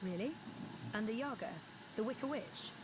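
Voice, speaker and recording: A woman's voice: on the high side, normal speed, lightly acted. A woman playing a wry, down-to-earth young woman adventurer in a video game, speaking in a casual, skeptical way. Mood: unsure, questioning. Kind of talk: video game character dialogue. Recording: game audio under loud ambient noise.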